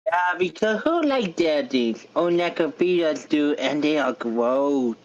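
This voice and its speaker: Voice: mid-range voice, expressive, a little slow, natural voice. A performer reading an obnoxious, teasing young male content creator in an amateur table read, with muffled online audio.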